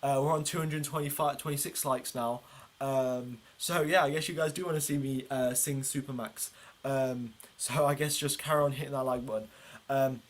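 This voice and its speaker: A man's voice: mid-range voice, fairly even intonation, moderate pace. A man hosting a livestream, giving informal, chatty commentary on a motor race and explaining it as he goes.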